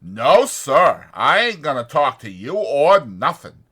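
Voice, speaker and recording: A man voicing a huge, childlike, simple-minded man in an audiobook, slow and plaintive with folksy uneducated speech. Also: medium pitch, sing-song, bright, forceful tone, slightly rough, pitched above the performer's own voice, full character voice. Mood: sullen, stubborn. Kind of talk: dramatized audiobook character acting.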